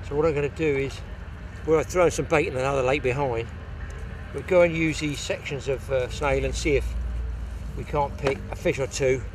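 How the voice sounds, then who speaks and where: A man's voice: medium pitch, background noise. A man, hosting a fishing show outdoors at a lakeside and chatting instructively to viewers. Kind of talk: casual outdoor fishing commentary.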